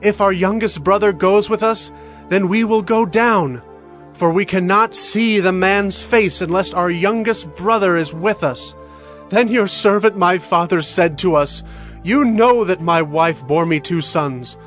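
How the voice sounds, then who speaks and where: A man's voice: high voice, a little slow, a bit bright, faint music behind. A man voicing Judah, a humble brother earnestly pleading before a ruler, in a dramatized scripture reading.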